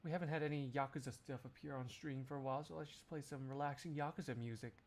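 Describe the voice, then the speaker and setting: A man's voice: mid-range voice, steady tone, fast talker, a little hiss or hum. A man livestreaming, chatting casually to his viewers in a jokey, rambling, exclamatory way. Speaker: live streamer.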